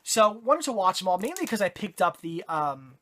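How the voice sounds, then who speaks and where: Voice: medium pitch, sing-song, fairly quick, pushed and bright. A horror movie reviewer talks through a casual video review while losing their voice. They ramble and double back with plenty of ums, restarts and corrections. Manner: rambling, self-correcting, many fillers. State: hoarse, voice giving out.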